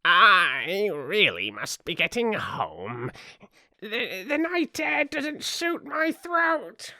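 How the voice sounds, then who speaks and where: A woman voicing a fussy, fretful old female creature with a quavering, slow storybook delivery. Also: medium pitch, big pitch swings, pushed and bright, no room sound, clean studio sound, voice pushed up, exaggerated voice.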